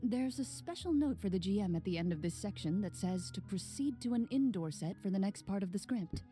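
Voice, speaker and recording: An actress playing a calm young woman game master in a video game, narrating softly in a measured, composed way. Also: mid-range voice, faint music behind, lightly acted. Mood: calm, polite.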